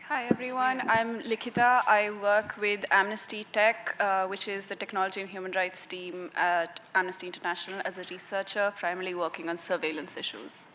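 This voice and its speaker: A woman researcher introducing herself on a panel in a steady way. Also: mid-range voice, brisk, hardly any pauses, big-room sound, slight background noise. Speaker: human rights researcher.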